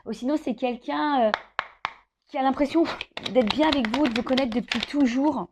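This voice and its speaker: A woman with a slightly high voice hosts an informal card reading, speaking directly to the viewer. She improvises as she goes, pausing to think, repeating phrases and adding small conversational tags. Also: background noise. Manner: hesitant, thinking aloud, with frequent "hein" tags and repeated phrases. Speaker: tarot card reader hosting a love horoscope reading. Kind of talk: informal improvised tarot guidance addressed to the viewer.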